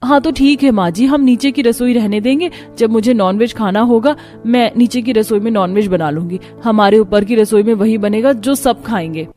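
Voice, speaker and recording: A woman's voice: a little high, moderate pace, few pauses, warm tone, close to an everyday voice. A woman playing a fretful, competitive rich younger daughter-in-law in a cartoon family story. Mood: insistent. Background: background music.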